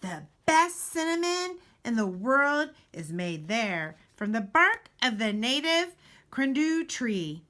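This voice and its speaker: A woman reads a children's picture book aloud in a high, bright voice. Her delivery is slow and very animated, with dramatic emphasis and deliberate pauses. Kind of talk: expressive children's storybook read-aloud.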